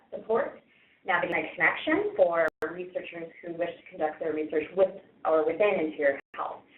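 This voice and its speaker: Lower-pitched Canadian woman on a phone-like line. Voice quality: loud voice. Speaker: research navigation coordinator, introducer. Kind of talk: webinar introduction. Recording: roomy, phone-like conference line.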